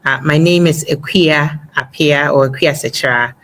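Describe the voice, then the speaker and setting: A woman in her forties, originally from Ghana, talks as a guest in a casual interview. Her voice sits very low for a woman. She is chatty, laughs easily and fills pauses with little ums and uhs. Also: fairly bright tone.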